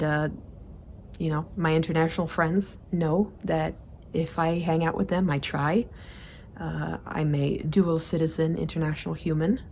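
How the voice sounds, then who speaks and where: Low gravelly woman vlogging. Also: darkish tone, slight background noise. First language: Swedish. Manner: chatty, frequent fillers and restarts. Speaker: productivity vlogger. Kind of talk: casual monthly report to camera.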